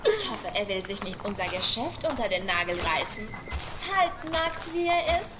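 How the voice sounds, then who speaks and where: A woman's voice: mid-range voice, sing-song, moderate pace, background noise, close to an everyday voice. A woman playing a wry, catty street woman in a fantasy audio drama. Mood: amused jibing.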